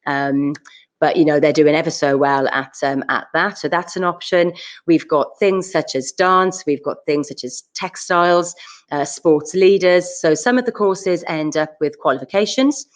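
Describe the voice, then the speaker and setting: Brief: deep-voiced school staff member from South Wales, earnest and emphatic, fillers. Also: female voice, normal speed. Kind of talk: presenting at a school options evening.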